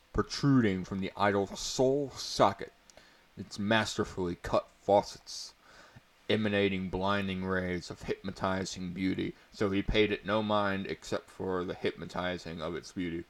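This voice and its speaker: A man narrating a story aloud in a portentous, mock-dramatic way, with amused asides. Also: sits low. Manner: portentous, breaking into amused commentary.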